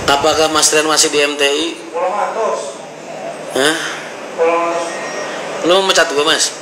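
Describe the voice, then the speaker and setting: A man's voice: a little high, steady tone, slow pace. A man chatting informally and reading out comments, in a slangy, bantering way. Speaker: livestream or vlog host reading comments. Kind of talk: casual chat, reading out comments. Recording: roomy echo, loud background noise.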